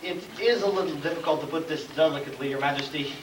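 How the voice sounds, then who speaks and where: A man's voice: fairly high pitch, natural voice. Man playing a tactful royal chancellor, distant echoey sound. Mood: careful, tactful. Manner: formal, halting, obsequious. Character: deferential, long-winded royal chancellor, tactful and flustered. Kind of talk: stage comedy acting. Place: school stage, live play performance. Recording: distant, noisy, echoey room recording of play.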